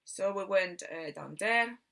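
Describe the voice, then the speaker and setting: A woman from Barcelona with a Spanish accent tells a rambling personal story in a halting way, repeating words and trailing off. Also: medium pitch, gravelly, pushed and bright. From Barcelona, Spain. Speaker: video host telling personal story.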